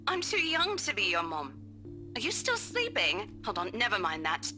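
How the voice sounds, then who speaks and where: An actress playing a brash, bossy, excitable young office colleague in a visual novel game. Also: female voice, medium pitch, moderate pace, over music. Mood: indignant, urgent.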